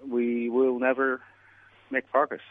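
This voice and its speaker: A man from Turkey answering interview questions in a measured, reflective way. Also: mid-range voice, flat delivery, gravelly. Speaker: guest. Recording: tinny phone line.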